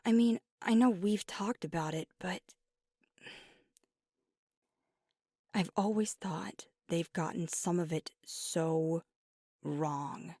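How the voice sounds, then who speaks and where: An earnest, devoted werewolf girlfriend played by a woman in an intimate ASMR audio roleplay. Her voice sits on the low side and comes through dry and close. She takes her time, choosing words with hesitant, conversational sincerity. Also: fairly even intonation, slow, mellow, dry recording. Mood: passionate, conflicted. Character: devoted, earnest young werewolf girlfriend weighing big news.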